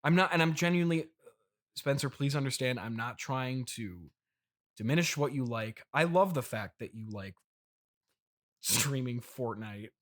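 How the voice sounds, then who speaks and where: A man's voice: medium pitch, lively intonation, moderate pace, lightly acted. A man playing a patient, exasperated billionaire father hosting a comedy podcast interview, placating and hesitant. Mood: earnest, placating. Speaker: podcast host.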